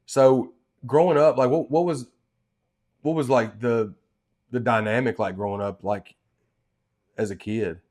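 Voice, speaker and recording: A man's voice: medium pitch, measured pace, pauses often, a little mellow. A man with a mid-range voice hosts a sit-down interview. He talks loosely and eagerly, filling his questions with casual asides. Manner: chatty, enthusiastic, loose fillers like "man". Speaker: interview show host.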